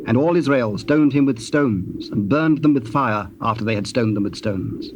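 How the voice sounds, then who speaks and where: A man narrating scripture in a solemn, measured and formal manner. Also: mid-range voice, lightly acted. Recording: dull, noisy old cassette tape.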